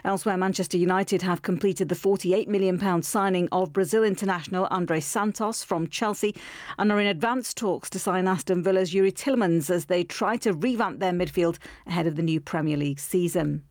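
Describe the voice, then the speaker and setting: A woman reading a scripted sports news bulletin on the radio in a brisk, clear, even way. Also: lowish voice, no echo, clean studio sound.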